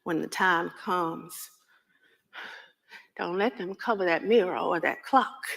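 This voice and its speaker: A woman's voice: lowish voice, slow pace, creaky, fairly bright tone, heavily acted. A woman voicing a loving, protective mother who is frail and dying, speaking tenderly and pleadingly to her child.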